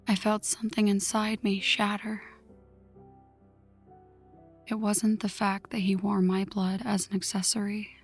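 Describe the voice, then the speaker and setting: A woman voicing a vengeful, newly turned vampire in a hushed, breathy ASMR story narration, slow and dramatic. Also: mid-range voice. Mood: brooding, haunted. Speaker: audio roleplay narrator. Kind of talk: ASMR scripted first-person story narration. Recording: music under the voice. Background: background music.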